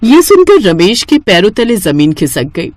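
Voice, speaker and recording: A woman's voice: mid-range voice, big pitch swings, normal speed, a little mellow, no room sound, clean studio sound. A woman narrating a scripted moral story for children.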